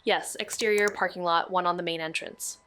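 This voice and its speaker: A woman's voice: lowish voice, fairly even intonation, a little fast, background noise, lightly acted. A woman playing a level-headed, sardonic young amateur detective in an audio drama, speaking casually and matter-of-factly. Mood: focused, dry. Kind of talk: scripted audio drama dialogue.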